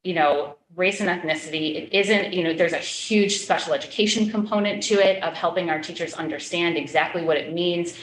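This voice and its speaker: A woman's voice: on the low side, brisk, hardly any pauses, echoey room, a little hiss or hum, low-quality recording. A middle-aged woman chairing a school committee forum, answering questions earnestly with many fillers and restarts. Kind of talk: public meeting, answering questions.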